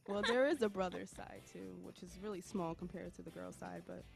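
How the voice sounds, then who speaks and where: A young woman with a gravelly, creaky voice speaks hesitantly in a casual student radio chat. Faint music plays behind her. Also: fairly low pitch, fairly quick. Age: young adult. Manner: hesitant, laughing, many fillers.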